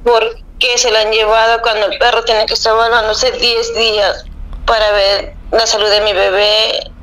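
Young woman, Peruvian accent, somewhat high voice, spontaneous phone interview, telephone call. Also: level tone, normal speed, a bit bright.

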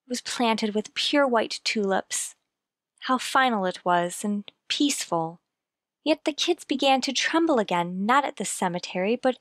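Gentle, high-voiced concerned woman played by an actress. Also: no room sound, clean studio sound. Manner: soothing, earnest. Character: gentle, concerned woman soothing a troubled household. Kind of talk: old-time radio play acting.

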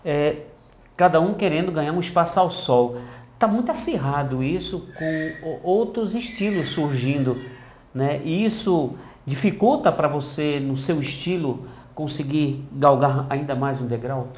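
A man's voice: a little high, unhurried, a little mellow, slight background noise. A man, hosting a casual interview show on a lapel mic. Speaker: talk show host. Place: small indoor room. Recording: echoey small room.

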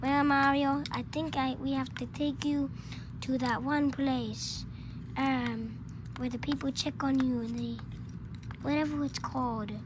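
High, flat-toned, hesitant companion played by a woman. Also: a little slow. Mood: concerned, hesitant. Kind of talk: amateur improvised skit. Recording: noisy homemade recording.

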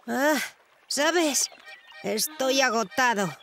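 A woman's voice: high voice, expressive, drawn-out words, over music, full character voice. A woman voicing a worried young boy sidekick, plaintive and unsure, in a children's cartoon dub. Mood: weary, unsure. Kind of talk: animated children's series dub.

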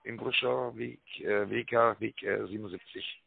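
A man giving a rehearsed telephone sales pitch, full of tag words and hesitations, with a raspy voice. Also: steady tone, moderate pace, dry recording. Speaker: telemarketing caller pitching a prize draw. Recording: narrow tinny phone line.

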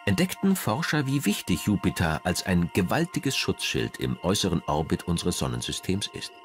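A man reading scripted documentary narration in German as the narrator. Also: low voice.

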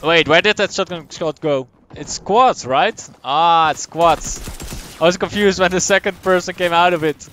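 A young man from the Netherlands with a Dutch accent, chatting casually as he streams a game, answering chat and thinking out loud. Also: fairly high pitch, normal speed, slight background noise. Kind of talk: live gameplay commentary, reading chat.

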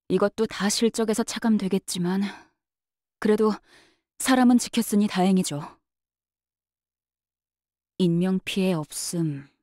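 A woman voicing a brisk young female knight-bodyguard in a game, polite but curt, with a faintly sardonic, matter-of-fact delivery. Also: lowish voice, warm tone, no echo, studio quality, natural voice. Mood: satisfied. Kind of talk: scripted game character voice lines.

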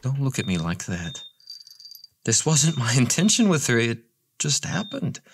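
A man playing a deranged captor in an audio horror drama, coaxing with mock gentleness and playful menace. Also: mid-range voice, wildly swooping pitch, a little slow, background noise. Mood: falsely sweet menace.

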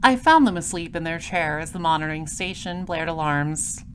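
A woman plays a droll space patrol detective telling a story in an audio drama. Her voice is on the low side, composed and matter-of-fact. Faint ambience runs behind it. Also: lively intonation, normal speed, slight background noise. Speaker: detective narrator. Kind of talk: audio drama scripted narration.

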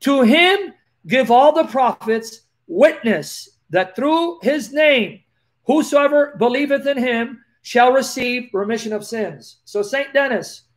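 A man with a noticeable lisp and a strikingly high-pitched voice answers viewers' questions live. He reads scripture aloud in a preacherly, animated manner, close to a laptop webcam in a small dim room. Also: takes it slowly. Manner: animated, preacherly, gestures while talking. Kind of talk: live Q&A, reading Bible verses aloud.

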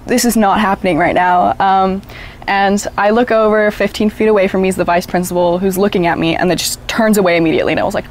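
A woman's voice: mid-range voice, fairly even intonation, fast talker, slight background noise. A young woman whose first language is Japanese, speaking reflectively with plenty of filler words. She is recorded outdoors on a lapel mic with faint ambience in the background. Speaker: interviewee. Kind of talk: documentary interview. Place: outdoors by a wooden wall.

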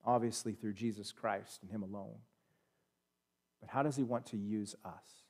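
A man in his thirties with an American accent, preaching a sermon in a church sanctuary on a headset mic, swinging from loud emphatic peaks to quiet asides. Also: fairly low pitch, steady tone, normal speed. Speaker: pastor. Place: church sanctuary, standing at a pulpit.

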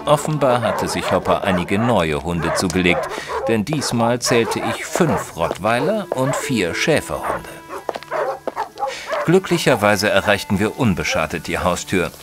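Very deep, expressive gentleman storyteller. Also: a little slow, over loud music. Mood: storytelling. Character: proper Victorian gentleman narrator, opinionated, easily shocked companion. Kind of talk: dramatised first-person audiobook narration in German.

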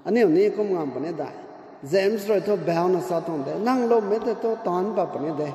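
A man's voice: on the high side, dark tone, a little hiss or hum. Older man preaching in echoey hall. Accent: Northeast Indian. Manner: measured preaching mixed with English words. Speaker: pastor. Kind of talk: sermon. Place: church hall. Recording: echoey hall with long reverb.

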